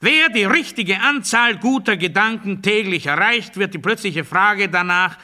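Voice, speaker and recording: A regimented man obsessed with obedience in a literary radio play. His voice sits rather high, with a very sharp, forceful edge. He delivers absurd doctrine deliberately, as a declamation. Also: animated, full character voice. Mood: strident proclamation. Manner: deliberate, declamatory, swinging from hushed confiding to strident proclamation.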